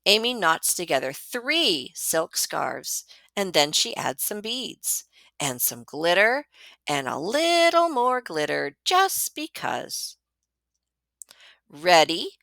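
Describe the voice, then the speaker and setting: Woman doing a kids storytime read, super expressive pitch, slow and bright. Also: creaky, no room sound. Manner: dramatic, deliberate storytelling with emphasized words. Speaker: librarian reading aloud to students. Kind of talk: expressive children's picture book read-aloud.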